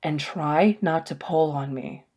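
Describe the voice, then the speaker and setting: A stubborn young male thief from a storybook , read by a woman. His voice sits a little low, with slow delivery. He is wry and sarcastic, in a dry, sardonic way. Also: darkish tone. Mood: irritated, hushed.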